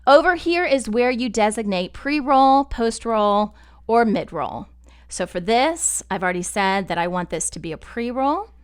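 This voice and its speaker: A woman giving a conversational, step-by-step software tutorial in an explanatory style. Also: a little high, expressive, unhurried, clear, resonant tone. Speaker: podcast network instructor.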